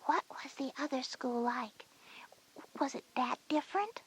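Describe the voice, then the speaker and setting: A small, inquisitive kindergarten girl, with an oddly breathy, almost whispered voice. She is high-pitched and soft, a childlike younger sister in a scripted story cassette. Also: animated, a little slow, dry recording, full character voice. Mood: curious. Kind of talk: scripted children's educational audio drama.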